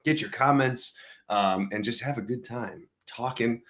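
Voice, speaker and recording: A man hosting a live wrestling news show, chatty and upbeat, a bit hoarse from losing his voice. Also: mid-range voice, measured pace, fairly bright tone. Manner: upbeat, chatty, many fillers. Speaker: host. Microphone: streaming setup mic.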